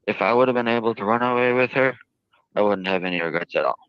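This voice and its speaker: A man with a very low, clean voice speaks in halting, drawn-out phrases as he answers interview questions. The sound is very dry and somewhat muffled, like a lo-fi archive interview. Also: smooth, dry recording. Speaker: jail inmate interviewed by a reporter.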